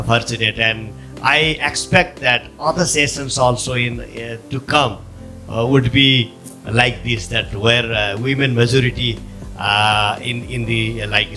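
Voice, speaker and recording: A man on a workshop panel answering a question hesitantly, with many pauses and fillers. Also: mid-range voice, bright, forceful tone.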